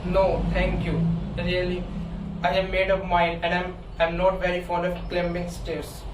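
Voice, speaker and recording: A young woman acts out a stubborn, sarcastic husband in a stage comedy. The delivery is deadpan and monotone, picked up from far off in an echoey room. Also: lowish voice, measured pace, natural voice. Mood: irritated. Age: young adult. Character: dry, sarcastic, stubborn husband, an adult man. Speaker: student actor. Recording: distant mic, echoey room, loud noise.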